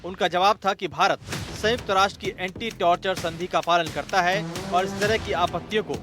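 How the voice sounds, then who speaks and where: A male news reporter delivers a scripted voice-over in a high-pitched voice. Music plays clearly behind the narration. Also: fairly even intonation, a little fast.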